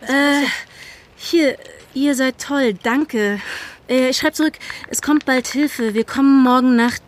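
An actress playing an earnest, agitated young climate activist in naturalistic audio drama dialogue, speaking in a halting, colloquial way. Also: female voice, sits high. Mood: anxious, hopeful. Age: young adult. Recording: faint background noise.

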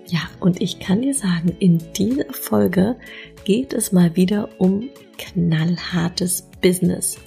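A woman's voice: medium pitch, vocal fry, mellow. A woman hosting a podcast, speaking with effusive enthusiasm as she introduces her guest. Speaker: podcast host.

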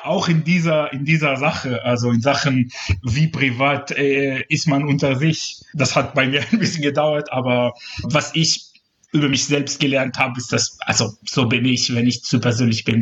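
A man's voice: medium pitch, fairly bright tone. Man talking casually, slightly dull sound. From Israel. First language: Hebrew. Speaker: interview guest, journalist. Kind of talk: casual personal conversation.

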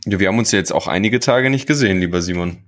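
Deep-voiced man in joking banter. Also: quick pace, fairly bright tone. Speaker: podcast co-host. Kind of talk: casual banter.

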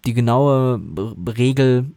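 A man who works as a dubbing actor, talking casually and thoughtfully about his craft with many pauses and restarts. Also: steady tone, mellow, no echo. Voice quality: relatively high voice. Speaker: voice actor guest. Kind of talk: casual interview talk.

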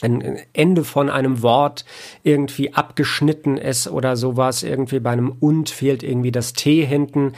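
A man talking casually as an interview guest, explaining his work in a reflective, halting way with repeated words. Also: medium pitch, steady tone, darkish tone. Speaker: podcast guest, audiobook editor and audio drama producer.

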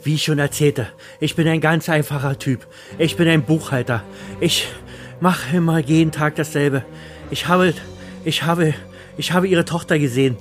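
A man's voice: a little high, fairly even intonation, moderate pace, faint music behind, lightly acted. A man playing a frightened, ordinary accountant in an audio thriller, stammering and repeating himself as he pleads. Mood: anxious pleading. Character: frightened, dull, ordinary male accountant pleading his innocence. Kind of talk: radio thriller acting.

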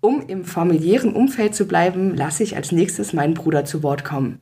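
Relaxed German woman podcaster, low voice. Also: fairly quick. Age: 30s. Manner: relaxed, with hesitant fillers. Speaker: podcast host. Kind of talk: personal podcast introduction.

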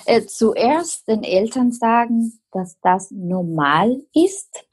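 Woman guest, Spanish accent, slow and husky, careful explaining with restarts. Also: mid-range voice, a little mellow. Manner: explains slowly with many fillers and restarts. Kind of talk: interview answer.

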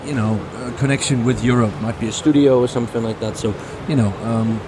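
A man's voice: mid-range voice, steady tone, fairly quick. A man casually talking through a motor show visit, hesitant and full of repeats. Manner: hesitant, lots of fillers and repeats. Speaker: car show reviewer. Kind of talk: casual walkaround chat. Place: busy motor show hall. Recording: noisy show floor.

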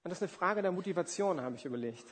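A man's voice: sits high, lively intonation, very fast. A man in his forties preaching in a conversational, emphatic way, with everyday examples and rhetorical questions. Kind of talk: sermon with everyday examples and acted-out asides. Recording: reverberant hall.

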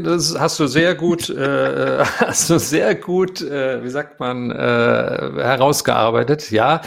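A man's voice: medium pitch, creaky. A man in his fifties, a voice actor as an interview guest, answering at length with many hesitations and fillers.

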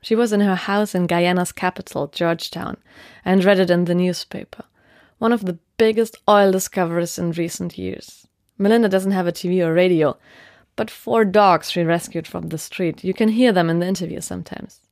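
A woman reporter narrating a documentary report in a clear, steady, explanatory way. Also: fairly low pitch, normal speed, dry recording, studio quality.

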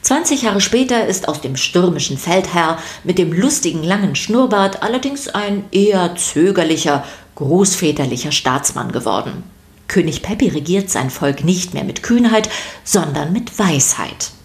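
A woman narrates a scripted story for children. Her voice sits a little low, and her delivery is expressive and playful. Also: moderate pace.

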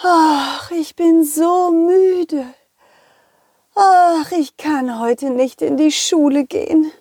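A woman's voice: sits high, a little mellow, no room sound, clean studio sound, pitched above the performer's own voice. A woman voicing a sleepy, listless grown-up lemon schoolteacher, sighing and complaining wearily in a children's audio story. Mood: sleepy, whiny. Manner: weary, sighing, drawn-out complaining.

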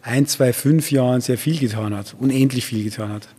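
A man with a husky, dark voice hosts a reflective interview. He muses and puts questions to his guest, with long reverb around him. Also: mid-range voice, normal speed. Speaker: interviewer, host.

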